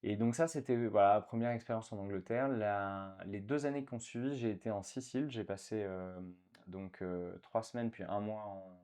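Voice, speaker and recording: Man, fairly low voice, from France, interview guest, casual, halting with fillers. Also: fairly even intonation, a little mellow, smooth. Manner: hesitant, many fillers and restarts.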